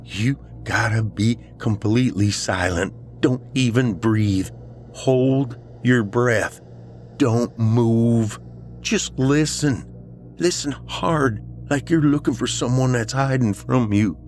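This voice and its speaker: Guy doing a freaked out paranoid dude, pleading, higher voice, horror audiobook. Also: slow, a little mellow, a little hiss or hum, natural voice. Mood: urgent pleading. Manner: intense, pleading, insistent. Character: distraught, paranoid man insisting he hears something. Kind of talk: audiobook character dialogue, horror story.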